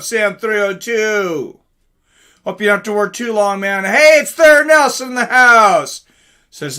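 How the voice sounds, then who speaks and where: A man with a fairly high, very bright and forward voice hosts a live reaction stream. He talks in a chatty, informal way, greeting viewers, reading out chat and giving casual fight commentary.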